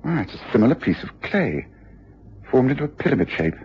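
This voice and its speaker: A man's voice: mid-range voice, big pitch swings, a little fast, a little hiss or hum, lightly acted. An actor playing an observant male detective in a radio drama, thinking aloud with musing hesitations as he examines and probes. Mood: intrigued, reasoning. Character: brisk, observant male detective, absorbed and probing. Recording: muffled radio drama recording.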